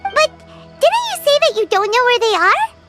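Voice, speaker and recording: A woman voicing a tiny floating female companion sprite in a video game, squeaky and excitable. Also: moderate pace. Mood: chirpy. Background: game music.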